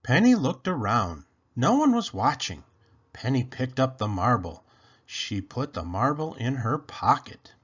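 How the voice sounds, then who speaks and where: A man reading a children's story aloud in an expressive, animated, deliberate way. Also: medium pitch, husky, highs cut off. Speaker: storybook read-aloud host.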